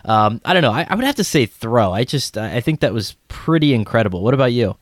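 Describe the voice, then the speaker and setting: A man's voice: mid-range voice, sing-song, fast talker, dry recording, studio quality. A man co-hosting a sports podcast, talking loosely and rambling through his thoughts. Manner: rambling, many fillers and restarts. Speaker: podcast co-host. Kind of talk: casual sports podcast chat.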